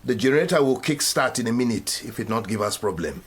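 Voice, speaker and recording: An actor playing a wily, menacing Nigerian fixer in a radio drama, speaking theatrically with a Nigerian accent and pidgin turns of phrase. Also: male voice, mid-range voice, expressive, fast, breathy edge. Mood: sly. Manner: pidgin English, theatrical, sly and taunting. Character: wily, menacing Nigerian male fixer who acts as the law.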